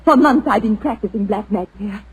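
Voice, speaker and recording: A woman's voice: mid-range voice, a little fast, husky, poor audio. An actress playing a demure young woman who turns out to be a gloating murderer. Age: young adult. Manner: proper then gloating and unhinged.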